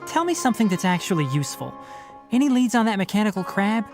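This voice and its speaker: A man's voice: high-pitched, very animated, quick pace. An actor playing a blunt, analytical young male forest watcher in a game, curt and matter-of-fact. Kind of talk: game voice acting. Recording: game audio over music.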